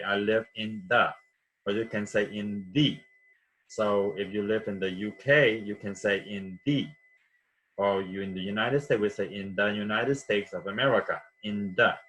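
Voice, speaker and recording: Slow-paced male teacher. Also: on the low side, fairly bright tone, rough recording. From Vietnam. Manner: patient, repeats words, frequent "okay?" checks. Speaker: vocabulary teacher. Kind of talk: classroom vocabulary lesson.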